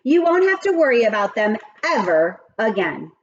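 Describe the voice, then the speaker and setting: A woman's voice: fairly high pitch, big pitch swings, moderate pace, highs cut off. A woman reading a children's storybook, voicing a sly male schoolyard teacher who coaxes and bargains. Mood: mock helpful. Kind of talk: children's storybook read-aloud.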